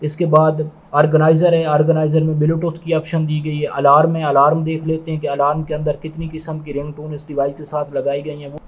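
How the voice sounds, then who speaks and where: A man's voice: a little high, completely flat delivery, fairly quick, few pauses, rough recording. A man giving product review commentary, explaining methodically and repetitively, step by step.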